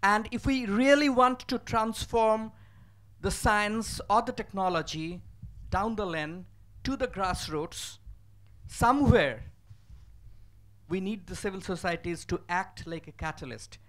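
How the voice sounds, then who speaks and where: A man from a civil society group making a formal, deliberate comment from a conference audience. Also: sits high, fairly bright tone. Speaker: audience member.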